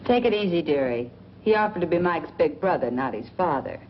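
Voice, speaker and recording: A brassy, wisecracking dame with a deep voice and a wry deadpan in 1940s film style. The old soundtrack is dull and faintly noisy. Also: female voice, a little fast. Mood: sardonic.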